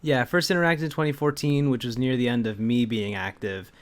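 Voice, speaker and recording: A young adult man talks casually as a video co-host. His voice sits at an ordinary middle pitch. He keeps the delivery informal and conversational, happy to curse. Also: steady tone, brisk. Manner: informal, swears freely. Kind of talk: casual chat introducing a video.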